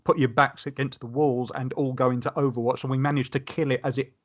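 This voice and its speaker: A man with a mid-pitched voice in a casual podcast conversation. He talks informally, and his audio is somewhat dull and lo-fi. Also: little pitch movement, fast talker.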